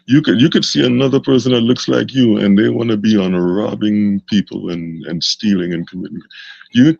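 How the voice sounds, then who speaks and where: A man co-hosting a live podcast, talking in an animated, motivational way as he pushes back. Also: lowish voice, gravelly, slight background noise. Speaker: podcast co-host. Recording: dull lo-fi sound.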